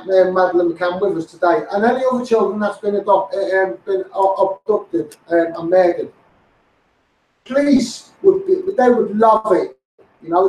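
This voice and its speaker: Male, Scouse, high voice. Insistent amateur campaigner delivering an impassioned monologue, recorded with heavy room echo. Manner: insistent, repetitive, directly addressing politicians. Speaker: campaigning video host. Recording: echoey, distant from the microphone.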